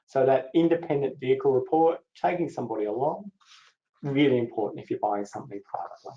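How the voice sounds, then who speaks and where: Man, mellow mid-pitched voice, steady explainer, muffled echoey call audio. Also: quick pace. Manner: steady, explanatory, moderates the session. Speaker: legal aid co-presenter. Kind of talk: webinar presentation. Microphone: video call. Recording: dull echoey webinar audio.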